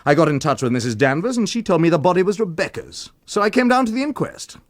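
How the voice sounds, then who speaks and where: An actor playing a cocky, drunken blackmailer in a radio drama, with a taunting, familiar, insinuating manner. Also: male voice, sits high, sing-song, fast talker.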